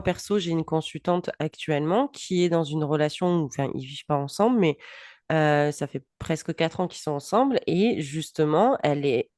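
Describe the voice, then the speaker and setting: Woman in her 40s chatting on a podcast, lowish voice, dry close sound, gets excited fast. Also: dry recording. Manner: animated, laughs often, quick bursts. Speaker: podcast co-host, coach. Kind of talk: casual podcast chat.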